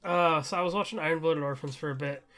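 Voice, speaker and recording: Young male podcast co-host, slightly high and very creaky, rambling enthusiastically in casual conversation. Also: quick pace, fairly bright tone. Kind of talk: casual chat about shows and anime.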